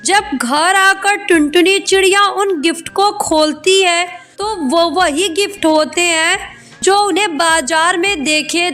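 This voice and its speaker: A woman's voice: extremely high pitch, a bit bright. A woman narrating a scripted children's cartoon story.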